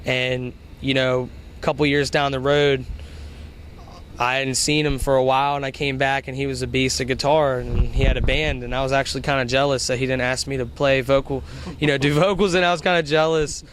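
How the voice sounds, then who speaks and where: A man's voice: medium pitch, little pitch movement, brisk, a bit bright, slight background noise. A 21-year-old man, a band's vocalist, giving casual rambling interview answers full of "you know" and "uh". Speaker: band vocalist. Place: outdoors at a music venue.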